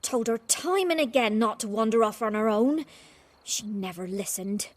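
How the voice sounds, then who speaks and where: Worried young village woman for a fantasy game, played by a woman: highish, husky, exasperated, dry recording. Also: normal speed, no room sound, close to an everyday voice. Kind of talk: video game voice acting.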